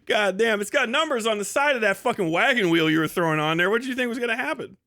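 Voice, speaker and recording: A man with a voice pitched a little higher than most men's talks off the cuff as a live streamer. His delivery is animated and enthusiastic. He rambles casually and swears freely as he goes. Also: fast talker. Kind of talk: casual, rambling livestream chat.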